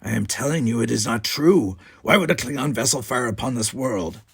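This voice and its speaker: A man acts out a hot-tempered Klingon colonist in an audiobook. He sounds gravelly and rough, sneering with open contempt and quick to accuse. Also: mid-range voice, animated, fairly bright tone, no echo, exaggerated voice. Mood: defensive. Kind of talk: audiobook character voice.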